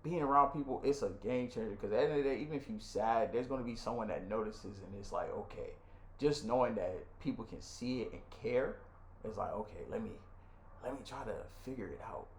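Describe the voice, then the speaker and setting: A young American man talks like a podcast co-host among friends, candid, animated and full of slang. His voice sits at a middle pitch. It rings in an echoey room with a little noise underneath. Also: brisk. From Nigeria, left at six for the United States. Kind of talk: casual, candid chat.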